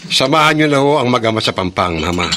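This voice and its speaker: A man's voice: medium pitch, animated, quick pace, background noise, close to an everyday voice. A man acting a determined, serious grown son protecting his family in a horror radio drama, with a Filipino accent. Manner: firm, serious.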